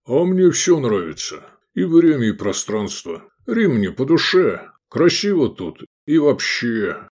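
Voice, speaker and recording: A man voicing a contented, easygoing gladiator in an audiobook, relaxed and satisfied. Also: mid-range voice, takes it slowly, pitched above the performer's own voice. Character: contented, easygoing male gladiator enjoying Rome.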